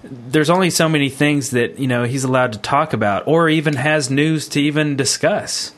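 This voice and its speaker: A man's voice: medium pitch, moderate pace. A man co-hosting a podcast in a casual, conversational, rambling way. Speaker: podcast co-host.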